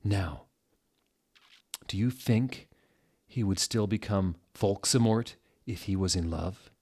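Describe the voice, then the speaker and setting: Man as a grave woman professor, fortune-teller, low voice, slow ominous delivery, storybook reading. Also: a little mellow, breathy edge, full character voice. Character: grave, mysterious female fortune-telling professor.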